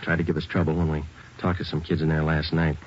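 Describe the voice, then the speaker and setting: Low, fast-talking deadpan detective. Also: male voice, a little hiss or hum. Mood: firm. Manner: deadpan, clipped, firm. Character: terse, no-nonsense male police detective narrating his case. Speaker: police detective and narrator. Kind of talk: 1940s radio acting, clipped narration. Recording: dull old radio recording, faint music.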